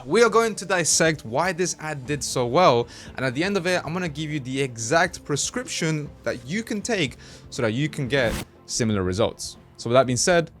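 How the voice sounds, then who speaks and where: A man from Colombia whose first language is Spanish, hosting a casual explainer and breaking things down conversationally, with quick mock-voiced asides. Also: a little high, lively intonation, fairly bright tone, soft background music. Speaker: host, ad creative marketer. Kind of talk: casual explainer talk to camera.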